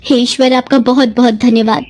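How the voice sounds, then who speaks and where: Woman as earnest young village girl, high voice. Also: fairly even intonation, a little fast, lightly acted. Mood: shocked, worried. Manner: soft-hearted, earnest, respectful. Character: gentle, dutiful young village cousin, earnest and worried.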